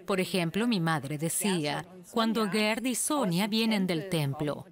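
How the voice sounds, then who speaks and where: A woman voicing a documentary interviewee's recollections in a measured, explanatory, conversational way. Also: on the low side, lively intonation, crowd noise. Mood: fond recollection. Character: woman interviewee recalling her childhood, documentary dub.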